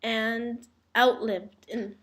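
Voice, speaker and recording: A female student speaks in class at a slow pace. Her voice sits a little high, with the delivery of someone answering or reading aloud for a teacher. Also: little pitch movement. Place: indoor classroom.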